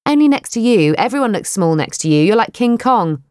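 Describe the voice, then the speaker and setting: A woman's voice: mid-range voice, very animated, a little fast, a little mellow, smooth, no room sound, clean studio sound, voice pushed up, natural voice. Woman voicing a weary small young shop worker. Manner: weary, self-deprecating.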